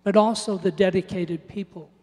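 A man's voice: sits high, fairly even intonation, normal speed, a little mellow. An older man, born in Norway, giving an earnest church testimony into a handheld mic. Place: church sanctuary. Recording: church hall reverb.

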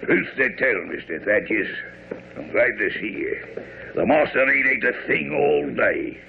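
An actor playing a gruff, stubborn servant guarding a door, with rustic speech, in a radio drama. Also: male voice, mid-range voice, expressive, unhurried. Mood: relieved. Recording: muffled, faintly noisy old radio broadcast.

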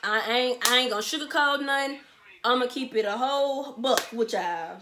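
A twenty-year-old woman from Memphis with a Southern accent, talking straight to camera in an animated, slangy way and repeating herself for emphasis. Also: fairly high pitch, moderate pace, slight background noise. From Memphis, Tennessee. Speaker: vlogger, small business owner. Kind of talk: casual Q&A vlog to camera. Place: bedroom, sitting on a bed, indoors.

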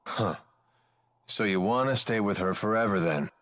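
A man's voice: fairly low pitch, moderate pace, fairly bright tone, a little hiss or hum, close to an everyday voice. An actor playing a cynical, worldly, guarded man in a video game, with a dry, sardonic manner. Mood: teasing, world-weary. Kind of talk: English game voice acting.

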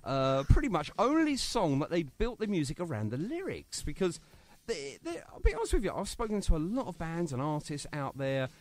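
Male radio host, chatty and jokey, lively pitch, a bit high. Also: a little fast. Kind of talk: casual radio interview. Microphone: phone line to guest.

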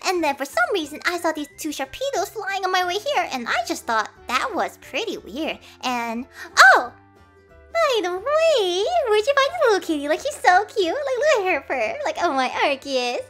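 A bubbly, breathless young dragon girl from a cartoon dub. The voice is extremely high and leaps around as it gushes and chatters, over background music. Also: very animated, moderate pace, a bit bright, exaggerated voice. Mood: bubbly, gushing. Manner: breathless, gushing, says "like" constantly. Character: hyperactive gushing young female dragon plushie maker. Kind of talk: animated comic dub acting.

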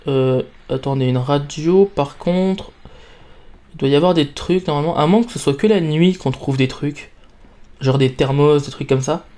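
A young man chats casually over a video game into a close mic. His voice sits a little high but stays soft and mellow, and his manner is playful and self-mocking. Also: normal speed. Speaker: let's play gamer. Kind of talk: casual gameplay commentary.

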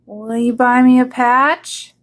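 Female storybook goose, bewildered, pleading, high-pitched, stretched words played by a woman. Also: a little slow, natural voice.

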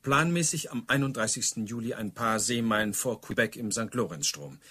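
An actor playing an efficient detective sergeant, deferential and precise, in a German radio drama. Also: male voice, on the low side, animated, normal speed, pushed and bright, husky, exaggerated voice. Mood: factual.

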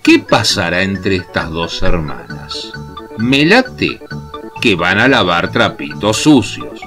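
An actor playing a mischievous, gossipy narrator of a radio comedy, with a knowing, theatrical delivery. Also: male voice, deep voice, very animated, slow pace, sharp tone, soft background music, heavily acted. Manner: knowing, teasing.

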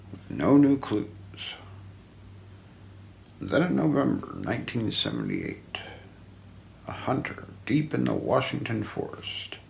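A man in his forties doing an ASMR role play, narrating an unsolved mystery script in a hushed, deliberate way with trailing phrase ends. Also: fairly low pitch, creaky. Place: small indoor room. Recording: faint background noise.

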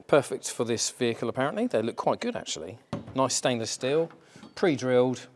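A man's voice: medium pitch, big pitch swings, a little fast, slight background noise. A man in his forties, co-hosting a van build and explaining the fitting in an easygoing, practical way, in a large indoor workshop. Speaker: van conversion co-host. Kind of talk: casual workshop chat.

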